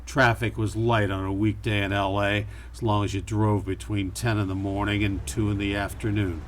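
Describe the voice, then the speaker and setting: A man playing a wry, world-weary private detective, narrating deadpan in a campy noir radio play. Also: lowish voice, normal speed, slight background noise, natural voice. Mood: wry narration.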